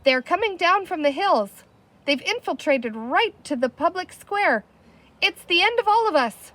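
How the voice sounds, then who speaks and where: Storybook creature chief, woman performer: small, wizened, cowardly, high frantic whimper, melodramatic swoops. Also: very animated, measured pace, fairly bright tone, exaggerated voice. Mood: panicked. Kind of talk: children's storybook character voice.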